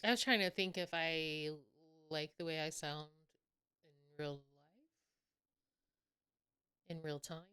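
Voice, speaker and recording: A young woman co-hosting a podcast in casual chat, with an expressive, flowing way of talking and easy giggles. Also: deep voice, moderate pace, clean tone. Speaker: podcast co-host.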